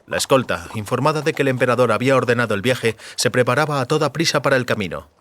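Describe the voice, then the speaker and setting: A man's voice: medium pitch, expressive, quick pace, fairly bright tone. A man reading scripted fantasy fiction as an audiobook narrator.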